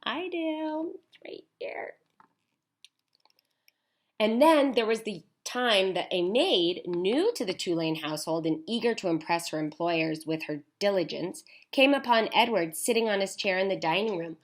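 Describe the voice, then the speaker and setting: A woman teacher reading a storybook aloud to children, expressive, with chatty asides. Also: mid-range voice, unhurried. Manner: animated, with chatty asides to young listeners.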